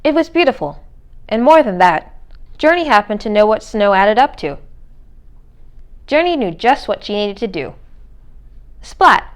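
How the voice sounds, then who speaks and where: A woman's voice: medium pitch, sing-song, unhurried, long pauses. A woman reading a children's storybook aloud as narrator. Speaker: read-aloud reader.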